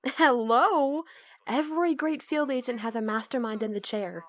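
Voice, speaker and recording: A woman playing a sardonic, self-assured young team mastermind in an audio roleplay, with a dry, measured delivery. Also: a little high, a bit bright, close to an everyday voice. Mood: sarcastic.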